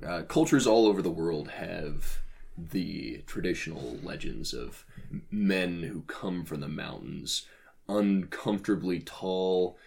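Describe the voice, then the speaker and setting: A man co-hosting a casual podcast, talking about folklore in a halting, wry, dry-humoured way. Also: low-pitched, gravelly, a little mellow. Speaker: podcast co-host.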